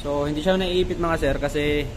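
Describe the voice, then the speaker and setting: Filipino man vlogging over loud noise. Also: fairly high pitch, steady tone, moderate pace. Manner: conversational, addresses viewers often, repeats himself. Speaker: motorcycle vlogger. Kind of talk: casual vlog chat and DIY walkthrough.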